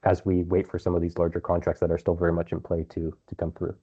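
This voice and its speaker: Very low, dark-voiced man on a conference call. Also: monotone, fast, husky. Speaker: company executive answering investor questions. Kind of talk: earnings call answers, semi-prepared business talk. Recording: dull, band-limited conference call audio.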